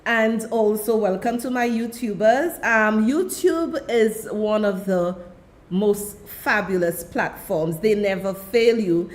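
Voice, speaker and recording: A woman's voice: medium pitch, takes it slowly. A woman with a Trinidadian accent hosting her own radio talk show, chatting directly to her listeners into a studio mic. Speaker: radio and web show host.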